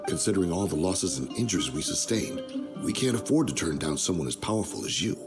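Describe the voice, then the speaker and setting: An earnest, remorseful desert fighter from a video game. He sounds sober, with a fairly low voice. Music is clearly audible behind him. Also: animated, fast talker. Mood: matter-of-fact. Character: male desert brigade fighter, loyal and remorseful.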